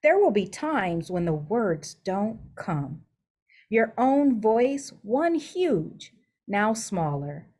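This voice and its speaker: A woman reading a children's picture book aloud in an expressive, deliberate storytelling style. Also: medium pitch.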